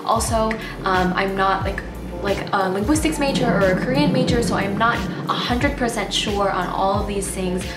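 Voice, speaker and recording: A woman's voice: medium pitch, moderate pace, roomy sound, over loud music. A young woman whose first language is Nepali, giving casual tips in a chatty vlog full of fillers. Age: young adult. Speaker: vlogger.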